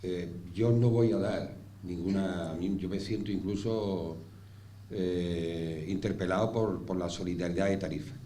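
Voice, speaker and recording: A man speaking off the cuff as a panelist, haltingly, repeating words and pausing mid-sentence. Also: low-pitched, steady tone, dark tone. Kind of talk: extemporaneous panel speech. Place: indoor round-table conference room. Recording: echoey room with faint noise.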